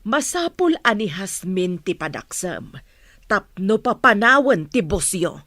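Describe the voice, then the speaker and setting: A woman's voice: medium pitch, sing-song, measured pace, a bit bright, dry recording. An actress playing a scheming, domineering middle-aged mother in an Ilocano radio drama. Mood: plotting.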